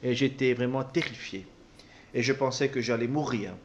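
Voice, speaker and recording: A man interpreting a personal testimony, speaking haltingly with repeated words and self-corrections. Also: mid-range voice, lo-fi sound, a little hiss or hum. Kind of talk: spoken translation of a personal testimony.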